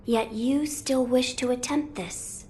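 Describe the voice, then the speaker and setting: An actress playing a serene, solemn young sacred child in a video game, with formal, gentle delivery. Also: female voice, high-pitched, steady tone, slow. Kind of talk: dramatic video game acting.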